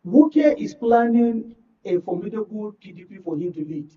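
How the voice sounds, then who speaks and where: Nigerian male commentator, high voice, earnest and repetitive for emphasis, dull off-mic recording. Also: animated, moderate pace. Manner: repeats words for emphasis. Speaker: guest political commentator. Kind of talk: earnest political discussion. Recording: muffled, distant-sounding studio talk.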